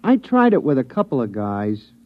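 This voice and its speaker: An actor playing a meek, folksy talking male mouse with a wife and family, in an old radio drama. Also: normal speed. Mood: matter-of-fact. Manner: plaintive, folksy, lively pitch. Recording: dull old radio recording.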